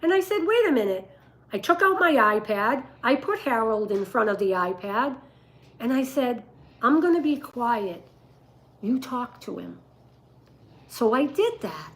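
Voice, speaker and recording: An older woman with a rough voice, telling stories and giving advice casually on a livestream, in an emphatic, deliberate way. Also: a little high, lively intonation, poor audio. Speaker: dog breeder and livestream host.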